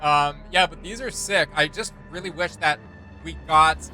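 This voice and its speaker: Young man, bright forward voice, casual enthusiastic reaction commentary with music behind. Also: a little high, unhurried, poor audio. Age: young adult. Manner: enthusiastic, slangy. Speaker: reaction video host. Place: indoor home studio room.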